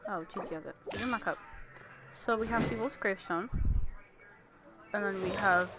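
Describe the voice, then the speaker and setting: Woman, gaming streamer, casual commentary, chatty, thinking aloud, mid pitch, noisy home recording. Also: normal speed.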